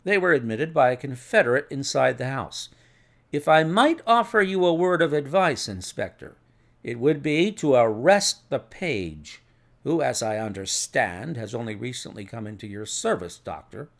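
A man voicing a cool, methodical detective in an audiobook, measured, precise and authoritative. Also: mid-range voice, sing-song. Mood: assured, explanatory. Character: cool, methodical male detective, assured and analytical.

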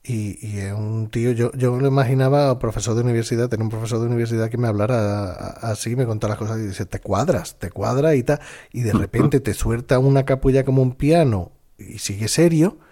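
A man from chatting casually, telling animated anecdotes with hesitant fillers. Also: medium pitch, brisk. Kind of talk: casual chat.

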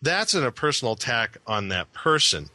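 A man co-hosts a casual podcast, sarcastic and a bit halting as he searches for words. His voice has a bright edge. The sound is close and dry. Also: medium pitch, very animated, no room sound. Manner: sarcastic, hesitant with fillers. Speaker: podcast co-host.

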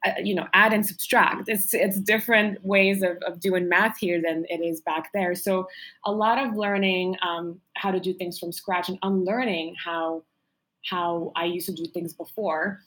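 A woman from Morocco, whose first language is not English, answering interview questions in a reflective, conversational way with frequent pauses and restarts. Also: lowish voice, fairly even intonation, fairly bright tone, poor audio. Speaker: author guest.

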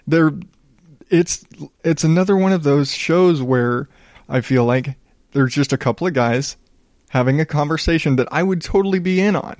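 A man in his thirties hosting his own podcast, rambling casually in a calm, smooth voice and poking fun at himself. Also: on the high side, moderate pace, dry recording, clean studio sound. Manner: rambling, self-deprecating, reads reviews aloud. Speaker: podcast host. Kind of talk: casual solo podcast monologue.

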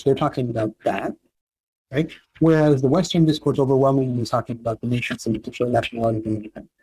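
Husky man explaining history at length. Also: mid-range voice, mellow, no echo, poor audio. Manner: measured, explanatory, frequent "right?" tags. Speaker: historian guest. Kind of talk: academic interview answer.

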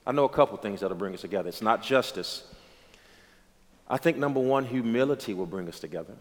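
Man, late thirties, pastor, low voice, emphatic preaching manner, long reverb. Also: lively intonation, quick pace. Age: 30s. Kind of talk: conversational dialogue, preaching.

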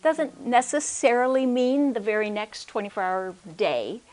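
A soft-spoken woman giving a conference workshop talk into a microphone, hesitant, with many restarts and check-ins with the audience. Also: a little high, lively intonation, measured pace. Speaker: workshop speaker. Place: conference room. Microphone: handheld or stand microphone.

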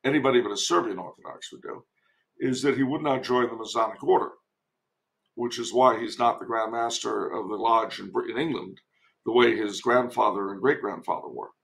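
A man's voice: mid-range voice, brisk, gravelly, a bit bright, rough recording. A man giving casual historical commentary as a podcast guest, digressive and given to wry asides.

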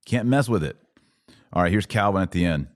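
A man's voice: sits low, expressive, fairly quick. A man hosting a podcast, commenting emphatically on clips he plays and stressing his points with rising force. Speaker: podcast host.

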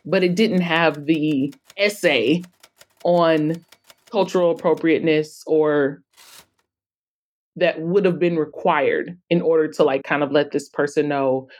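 A woman with a fairly low voice talks as a podcast guest. She explains things in an emphatic, conversational way, often slipping into quoted remarks to make her point. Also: fairly even intonation, unhurried, a little mellow. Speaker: guest author and anthology publisher.

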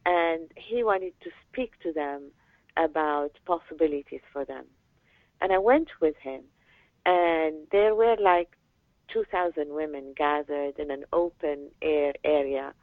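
A woman from Lebanon, an education advocate, answering interview questions in a measured way with pauses and fillers. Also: low voice, no echo. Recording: tinny phone line, dry.

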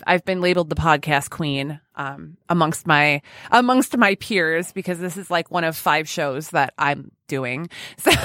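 A woman's voice: lowish voice, fairly bright tone, no echo, clean studio sound. A woman hosting a podcast in a casual, chatty, self-deprecating and giggly way. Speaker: podcast host.

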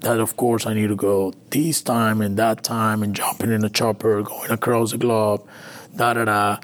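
A man from Venezuela, a native Spanish speaker with a Latin American accent, telling his recovery story haltingly in an interview. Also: fairly low pitch, level tone. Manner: halting, with frequent restarts and fillers. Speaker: interview guest. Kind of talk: personal recovery story, conversational.

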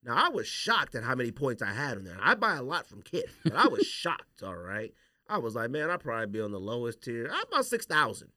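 Animated man debating on a podcast. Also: mid-range voice, a little fast, no echo, clean studio sound. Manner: animated, opinionated, slangy. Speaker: podcast host. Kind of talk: casual sneaker podcast debate.

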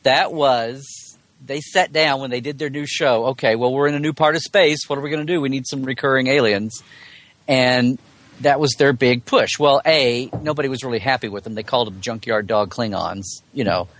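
A man's voice: medium pitch, fairly even intonation, normal speed, a bit bright. A man chatting casually as a podcast guest, explaining things in detail with jokey asides. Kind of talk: casual interview chat.

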